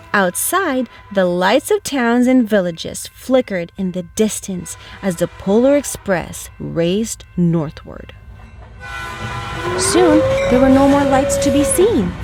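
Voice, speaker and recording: A woman reading a children's storybook aloud as a storytime host, with expressive, dramatic and careful phrasing. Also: on the high side.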